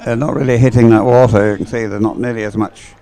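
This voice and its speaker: A man giving live sports commentary in an unhurried, conversational way. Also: mid-range voice, steady tone, warm tone. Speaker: race commentator.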